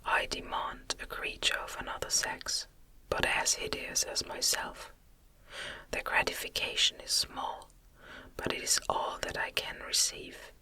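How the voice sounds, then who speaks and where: Woman whispering as a lonely, pleading monster. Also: bright, forceful tone. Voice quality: breathy unvoiced whisper. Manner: earnest, formal, imploring. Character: lonely, pleading, eloquent male monster, hideous outcast. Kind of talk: ASMR storybook reading.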